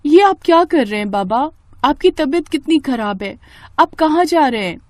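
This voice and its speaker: A woman voicing a devoted, worried young daughter in an animated moral story, earnest and pleading. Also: sits high, moderate pace, dry recording, studio quality, voice pushed up. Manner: pleading, emotional.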